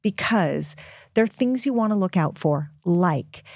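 A woman's voice: on the low side, animated, unhurried, a little mellow, dry recording. American woman, middle aged, explains stuff plainly n stresses key bits, really dry close sound. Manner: emphatic, plain-spoken, repeats key points. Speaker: guest expert and author on puberty. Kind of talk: conversational explanatory interview.

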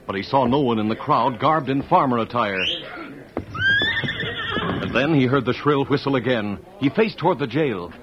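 A man's voice: medium pitch, lively intonation, very noisy. Dramatic old-time radio western narrator, male. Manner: measured, dramatic storytelling. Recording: muffled old radio, music behind.

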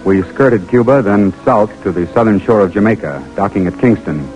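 Man playing deep-voiced forty-ish lieutenant, calm and dutiful, old radio show w/ faint music. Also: muffled recording, natural voice. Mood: measured, suspenseful. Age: middle-aged. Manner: measured, restrained, clipped military answers. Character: composed, dutiful forty-year-old army lieutenant narrating his mission. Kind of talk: old-time radio drama acting and narration.

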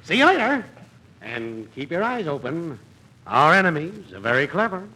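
An actor playing a grandiose, wheedling schemer in 1940s radio comedy, coaxing theatrically with little stammers. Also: male voice, on the high side, very animated, moderate pace, bright, forceful tone, rough recording. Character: fast-talking, wheedling, grandiose male swindler friend. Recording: vintage radio recording with faint noise.

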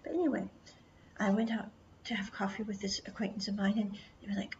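A woman from the United States telling a rambling travel story, mostly in a hushed whisper, chatty and full of "I was like". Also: medium pitch, flat delivery, brisk. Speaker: vlogger. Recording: dull lo-fi audio with clear background noise.

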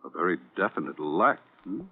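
An actor playing a sardonic Broadway producer, biting and theatrical, in an old-time radio crime drama. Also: male voice, low-pitched, animated, moderate pace, vocal fry, exaggerated voice. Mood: sardonic, wistful. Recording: tinny, band-limited vintage radio recording.

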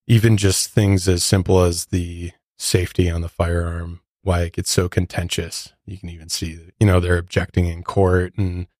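A man's voice: sits low, darkish tone, dry recording, studio quality. A man hosting a true crime podcast, casually explaining court clips and the case to his co-host.

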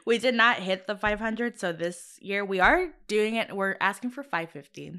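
A woman's voice: mid-range voice, expressive, normal speed, pushed and bright, smooth. A young woman co-hosting a casual podcast, chatty and upbeat and laughing often. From Southern California. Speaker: podcast co-host. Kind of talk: casual chat.

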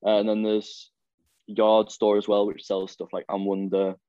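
A man's voice: on the low side, monotone, normal speed, mellow, dry recording. A young man, chatting as a podcast guest and rambling through plenty of fillers and restarts. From the Wirral, England. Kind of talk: casual chat. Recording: dull lo-fi, dry.

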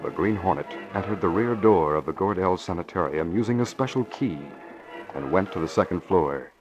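A man's voice: sits low, lively intonation, moderate pace, over music. A man announcing and narrating a 1940s radio show, emphatic and punchy in delivery. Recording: dull lo-fi old radio recording.

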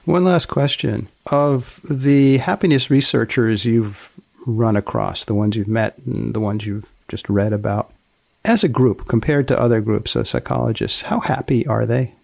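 A man hosting a casual interview, asking gently probing questions in a deliberate way. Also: mid-range voice, creaky, no echo. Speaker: host interviewer.

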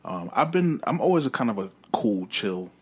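A young man in casual chat, animated and repeating words in bursts as he talks. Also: medium pitch, moderate pace, breathy edge.

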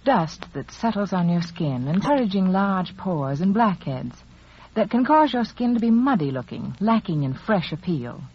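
Lowish American woman, old radio advert. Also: big pitch swings, normal speed. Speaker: beauty authority giving sponsor message. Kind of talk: scripted 1940s radio advertising. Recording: muffled, faintly noisy 1940s radio recording.

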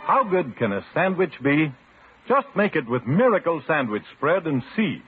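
An American man reading a 1950s radio advertisement as an emphatic, savoring sales pitch. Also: sing-song, normal speed, soft background music. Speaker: commercial announcer. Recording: thin, muffled old radio broadcast.

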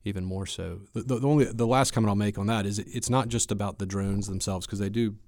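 Male expert guest. Low register, conversational explanatory delivery, measured, dry recording. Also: fairly even intonation, a little mellow, no echo. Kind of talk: conversational expert analysis in an interview.

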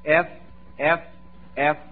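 A man's voice: a little high, little pitch movement, slow pace. An American man hosting a 1940s radio quiz show, folksy and encouraging, with chuckles in his talk. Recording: dull vintage radio broadcast recording.

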